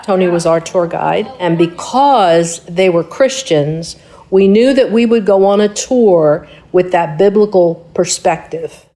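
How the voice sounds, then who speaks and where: Interviewee, woman, mellow low voice, eager chat, slightly off mic. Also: measured pace. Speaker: interviewee, church member. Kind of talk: interview clip.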